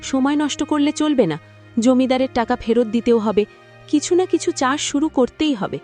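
A woman's voice: high-pitched, normal speed, darkish tone, faint music behind, close to an everyday voice. A woman voicing a supportive, practical, resolute farmer's wife in a cartoon, earnest and encouraging. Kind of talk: cartoon voice acting.

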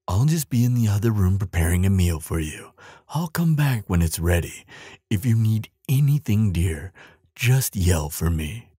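A man playing a huge, gentle giant in an audio roleplay, soft and breathy, speaking in a soothing, doting, unhurried way to the listener. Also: low-pitched, no room sound, studio quality, full character voice. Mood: tender, caring. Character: huge gentle male giant, tender and caring. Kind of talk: audio roleplay acting, softly spoken to the listener.

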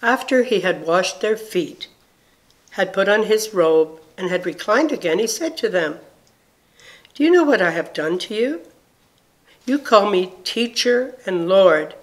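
Slow-paced woman reading scripture. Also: on the low side, rough recording. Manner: measured, deliberate phrasing. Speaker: scripture reader.

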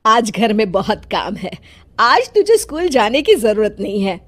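A woman's voice: a little high, very animated, measured pace. A woman voicing a proud, bossy old grandmother in a cartoon, scolding and dismissive.

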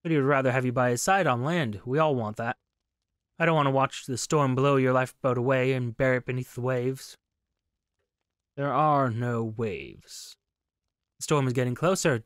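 A man's voice: medium pitch, no room sound, clean studio sound, close to an everyday voice. A man reading a grave, foreboding wife. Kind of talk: reading game dialogue aloud.

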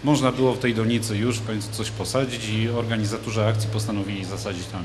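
A man's voice: lowish voice, steady tone, quick pace, noisy background. A man in his thirties, a studio guest, speaks casually with many fillers and restarts about a local event, holding a handmade microphone. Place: large TV studio with a glass roof. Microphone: handheld mic.

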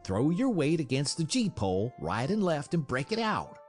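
A man's voice: on the high side, very animated, moderate pace, a little mellow, faint music behind, voice pushed up. A man voicing a plain-spoken, wry frontier onlooker in an audiobook, with a blunt, colloquial manner.

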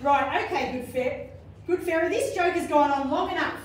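A woman's voice: sits high, normal speed, natural voice. A woman playing a fed-up, sarcastic nurse Dorothy in an amateur stage pantomime, with a blunt delivery. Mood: sarcastic disbelief. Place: care home common room, indoors, before an audience. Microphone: far camera. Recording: echoey hall, distant camera microphone, faint noise.

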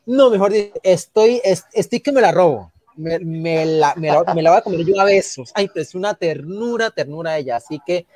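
High-voiced Santander Colombian man, rambling. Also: fairly quick, hardly any pauses, dry recording. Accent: Santander Colombian Spanish, from Barrancabermeja. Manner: animated, rambling with many restarts, indignant. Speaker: panelist on an online TV discussion show. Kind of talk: casual live online talk.

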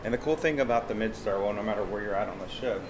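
A man's voice: fairly low pitch, fast, a crowd in the background, low-quality recording. A man narrating a walking tour of a cruise ship, explaining as he goes with frequent fillers. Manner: friendly explanatory, many "uh" fillers. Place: outdoor and indoor decks of a cruise ship.

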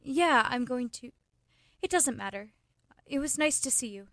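An actress as a high-pitched young woman, radio drama, headstrong, pointed, quick-witted, close dry sound. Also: animated, a bit bright, dry recording, exaggerated voice. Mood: awkward, wistful. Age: young adult. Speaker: student actor. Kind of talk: radio play acting.

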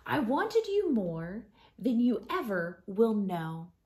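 A woman in her thirties reading a picture book to children like a schoolteacher, gently and expressively, softening to a breathy hush at line ends. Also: a little high, sing-song, takes it slowly. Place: small living room at home.

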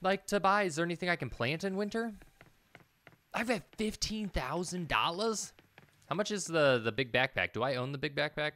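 A man's voice: a little high, animated, normal speed, bright, forceful tone, dry recording. A man in his thirties doing casual gameplay commentary, hesitantly thinking aloud and repeating himself as he mutters. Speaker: game streamer. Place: indoor room, streaming at a computer.

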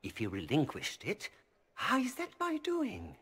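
Scheming merchant, husky, ingratiating, game voice. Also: male voice, on the high side, wildly swooping pitch, a little slow, sharp tone, full character voice. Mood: smug, ingratiating. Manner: smooth, ingratiating, formal. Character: scheming male merchant, oily and polite. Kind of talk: video game voice acting.

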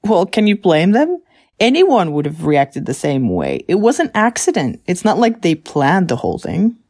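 A woman's voice: on the low side, wildly swooping pitch, normal speed, a little mellow, exaggerated voice. A woman playing a sympathetic character recounting an adventure in an audio drama. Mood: defensive, sympathetic.

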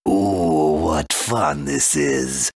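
A man's voice: rumbling low, very slow, husky, dry recording, full character voice. A man playing a mocking, tyrannical emperor in a video game, theatrical and gloating. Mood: gloating menace.

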